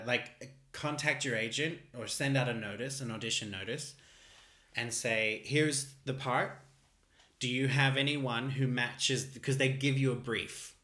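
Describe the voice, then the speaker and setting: A man with an Australian accent, co-hosting a casual chat, talking in an animated, camp way. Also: medium pitch. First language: English. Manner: animated, camp, laughing often. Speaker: podcast co-host. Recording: roomy echo.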